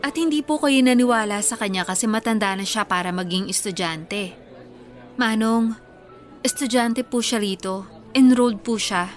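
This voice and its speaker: An actress in a Filipino radio drama playing a college instructor who is assertive, courteous and direct. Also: female voice, on the high side, normal speed, faint music behind, lightly acted. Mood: firm. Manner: polite, direct.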